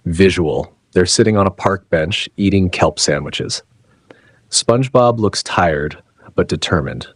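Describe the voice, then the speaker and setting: A man's voice: sits low, a little slow, slightly rough, no echo. A man reading scripted narration for an audio drama as its narrator.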